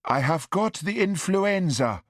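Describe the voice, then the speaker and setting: A miserable Highland Scottish policeman down with flu, acted by a male narrator. The voice is lifted above a narrator's register, lilting, sibilant and stiff, full of bleak self-pity in a storybook reading. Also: lively intonation, a little slow, dry recording, clean studio sound. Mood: chilly, hurt.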